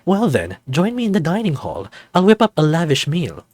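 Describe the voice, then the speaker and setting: A man's voice: fairly high pitch, huge pitch swings, moderate pace, darkish tone, no room sound, pitched above the performer's own voice. A flamboyant snow leopard resort host, acted out by a man, with a raised, lilting voice. He is theatrical and campy, like a grand hotelier in a visual novel, and is recorded close and dry. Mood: grand, coaxing. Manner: dramatic, lavish wording, campy.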